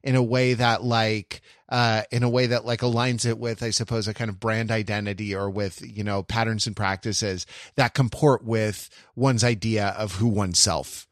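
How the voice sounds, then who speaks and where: Male podcast host, lower register, dry close sound; conversational, meandering, thinking out loud. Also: steady tone, dry recording. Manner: halting, rambling, thinking aloud.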